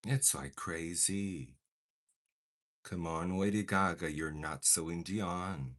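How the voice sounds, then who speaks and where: A young man whose first language is Portuguese talks slowly and casually as he does his makeup. His voice sits fairly low, and he slips into playful, theatrical outrage. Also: fairly bright tone. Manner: chatty and dramatic, mock outrage. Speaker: beauty vlogger. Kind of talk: casual chat while doing makeup.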